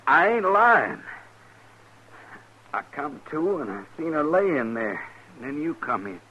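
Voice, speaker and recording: An actor playing a folksy frontier cowhand in a 1950s radio western. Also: male voice, a little high, big pitch swings, takes it slowly, sharp tone, highs cut off, full character voice. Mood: dazed, insistent. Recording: hissy 1950s radio recording.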